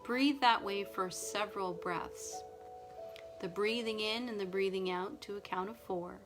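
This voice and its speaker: A woman from Nova Scotia guiding an evening breathing meditation in a slow, soft, soothing way. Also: mid-range voice. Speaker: meditation guide. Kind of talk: guided relaxation meditation. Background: music and ocean wave sounds.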